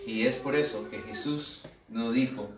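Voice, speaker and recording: A man's voice: mid-range voice, measured pace, far from the mic, background noise. American man preaching in a loose, humorous way; echoey room, off-mic. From United States. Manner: humorous, conversational, with asides and rhetorical questions. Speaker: pastor. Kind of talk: sermon.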